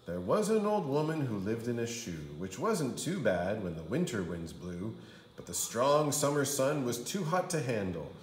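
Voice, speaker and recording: A man's voice: mid-range voice, very animated, moderate pace, a little hiss or hum. A man reading a children's storybook aloud like a kindergarten teacher, with theatrical, sing-song delivery. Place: indoor room. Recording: roomy indoor echo.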